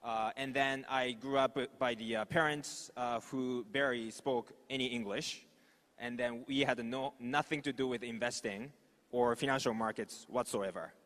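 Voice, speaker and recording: A man from Japan with a Japanese accent, a native Japanese speaker, giving an informal, halting conference presentation. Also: mid-range voice, fairly even intonation, a bit bright. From Osaka, Japan. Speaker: startup executive, conference speaker. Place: large conference hall stage. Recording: echoey hall with long reverb.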